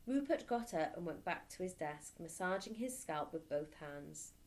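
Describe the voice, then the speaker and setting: Animated woman reading a children's story. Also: on the low side, normal speed. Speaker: teacher reading to pupils.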